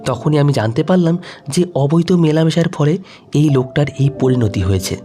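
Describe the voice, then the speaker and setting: A man's voice: a little high, animated, normal speed, dark tone, soft background music, lightly acted. A man voicing a distraught, insistent young woman grieving her lover in an audio story. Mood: anxious, explaining.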